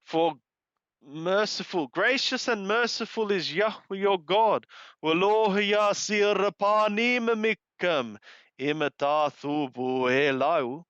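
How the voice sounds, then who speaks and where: A man reading scripture aloud and translating it literally, in a deliberate, solemn manner, pausing after each short phrase. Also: high voice, fairly bright tone, clean tone, dry recording, highs cut off.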